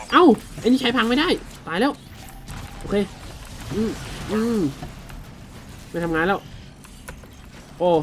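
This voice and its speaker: High-pitched man commentating over a game. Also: a bit bright, a little hiss or hum. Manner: exclamatory, crude slang, chatty to viewers. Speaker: gaming video host. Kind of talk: casual game commentary with loosely dubbed dialogue.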